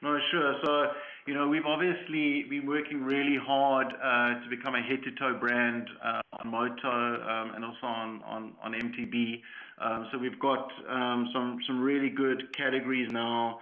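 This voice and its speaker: A man's voice: mid-range voice, flat delivery, normal speed. Man on a muffled conference line. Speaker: company chief executive answering investor questions. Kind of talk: earnings call question and answer. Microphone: conference call line.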